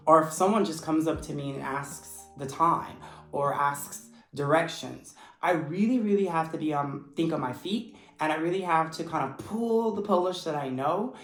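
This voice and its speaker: A man who teaches English, giving a steady, earnest explanation in vlog style. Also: on the high side. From United States. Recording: echoey room with faint music. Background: faint background music.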